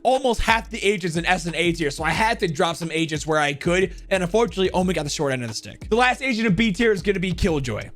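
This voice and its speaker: A man's voice: sits high, animated, quick pace, few pauses, a bit bright. A man giving casual, opinionated tier-list commentary as a video host in a conversational way.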